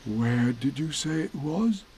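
A man's voice: mid-range voice, animated, slow, a little mellow, a little hiss or hum. A man voicing a friendly, simple toy bear in a storybook, with an earnest, singsong manner. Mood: uneasy. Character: eager, friendly, slow-witted male toy bear.

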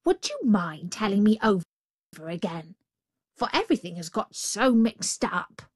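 A woman's voice: medium pitch, big pitch swings, a little slow, husky, no room sound, clean studio sound. A woman voicing a male storybook jackal who plays dim, fretful and hesitant, with an obsequious manner. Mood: puzzled. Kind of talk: storybook character voice.

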